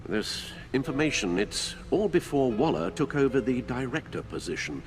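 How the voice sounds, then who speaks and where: An actor playing a loyal older butler in a video game, formal and dryly witty, a fretful caretaker. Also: male voice, medium pitch, very animated, normal speed, a little hiss or hum, close to an everyday voice. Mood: concerned, wry. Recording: music behind the voice.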